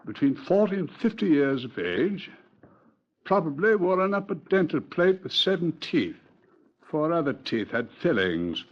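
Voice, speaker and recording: A precise English forensic expert with a slightly high, animated voice. He savors each finding in old radio drama style, on a muffled recording. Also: measured pace. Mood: brisk, reporting. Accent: British. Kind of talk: 1950s radio drama acting.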